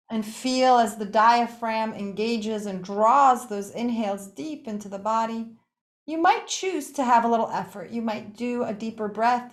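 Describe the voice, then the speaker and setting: A woman teaching yoga nidra, leading a guided meditation in an unhurried, gently inviting way, with pauses between short phrases. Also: a little high. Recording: echoey, roomy sound.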